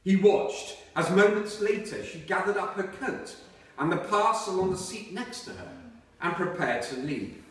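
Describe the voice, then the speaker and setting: A man reading aloud from a novel to listeners in a measured storytelling way. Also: high-pitched, expressive, a bit bright. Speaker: reader at a book reading. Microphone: distant microphone. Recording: very echoey hall, far from microphone.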